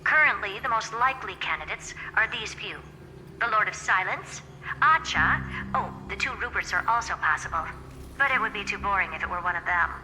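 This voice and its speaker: Game role: haughty genius woman, self-assured, matter-of-fact, mid-pitched, in long reverb with clear music behind. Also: expressive, brisk, bright, forceful tone. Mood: confident, dismissive. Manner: smug, blunt, matter-of-fact. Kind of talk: video game voice acting.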